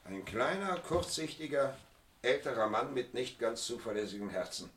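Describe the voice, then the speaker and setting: A man's voice: medium pitch, animated, moderate pace, fairly bright tone, recorded from a distance, close to an everyday voice. A man playing a blunt, observant police assistant in a German radio crime play, casual and wry in manner. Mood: wry, matter-of-fact. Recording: roomy, off-mic, noisy old radio recording.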